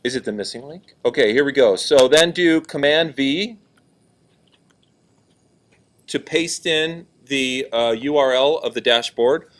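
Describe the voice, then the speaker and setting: A male teacher with a loud, carrying voice at an ordinary pitch leads a class. He talks casually and keeps checking that everyone is following. He is in a classroom and walks around as he talks. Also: normal speed. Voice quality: loud, projecting voice. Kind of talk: classroom lesson, walking around.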